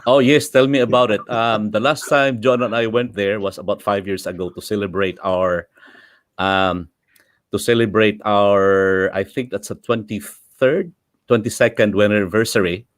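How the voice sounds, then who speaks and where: A man's voice: fairly low pitch, steady tone, moderate pace, dry recording, clean studio sound. A middle-aged man with a Filipino accent hosting a livestream, chatting casually and laughing often as he switches between English and Filipino. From Philippines. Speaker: host.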